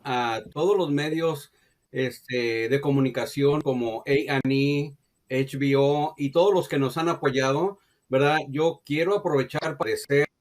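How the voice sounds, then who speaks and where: Plain-spoken man with a fairly high voice. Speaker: press conference panelist.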